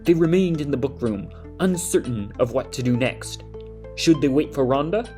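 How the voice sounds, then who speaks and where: Man reading as children's mystery narrator, scripted storybook delivery, mid-pitched voice, faint music behind. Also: lively intonation, moderate pace, darkish tone, close to an everyday voice. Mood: measured storytelling. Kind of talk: scripted audio drama narration.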